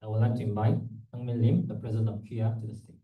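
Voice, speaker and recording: A young man giving an informal conference presentation as a student club executive, in a halting way with repeated words. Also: lowish voice, steady tone, narrow, tinny audio. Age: young adult. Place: indoor conference room. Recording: echoey room, distant microphone.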